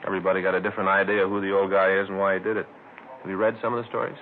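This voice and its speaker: An old, tinny 1950s radio crime drama with light noise. a plainspoken detective sidekick in a low voice, casual, inquisitive and matter-of-fact. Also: male voice, flat delivery, rapid-fire. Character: plainspoken, inquisitive male police detective partner. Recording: lo-fi noisy 1950s radio recording.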